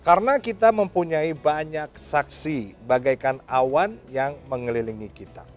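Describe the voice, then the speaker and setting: A man's voice: a little high, moderate pace, soft background music. A man, a pastor giving conversational religious advice informally, with some English words mixed in. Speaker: pastor answering questions.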